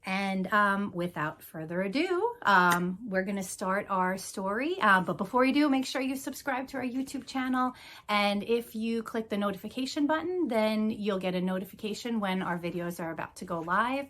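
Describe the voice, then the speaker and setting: A woman's voice: medium pitch, animated, moderate pace, fairly bright tone. A woman hosting a children's storytime, talking casually and reading a picture book aloud. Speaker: children's museum staff storytime host.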